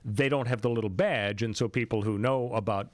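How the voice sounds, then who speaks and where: A man's voice: fairly low pitch, steady tone, brisk, no room sound. American man hosting talk radio, dry studio sound. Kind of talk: conversational political talk radio commentary.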